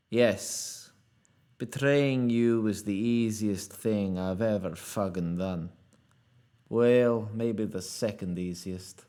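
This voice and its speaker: An actor playing a bitter, foul-mouthed dying rogue in a fantasy audio drama, sardonic and accusatory. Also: male voice, on the low side.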